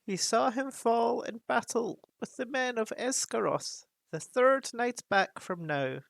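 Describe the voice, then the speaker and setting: A woman voicing a huge, very old male raven chief who speaks with a croaking, solemn, measured voice. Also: on the high side, no room sound, voice pushed up. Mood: solemn warning.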